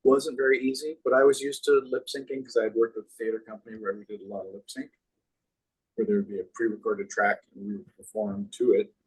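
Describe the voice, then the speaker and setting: A man with a low, distinctly husky voice talks casually as an interview guest. He reminisces like an animated storyteller, in a loose conversational style. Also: quick pace, poor audio. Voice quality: deep, husky. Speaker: guest, puppeteer.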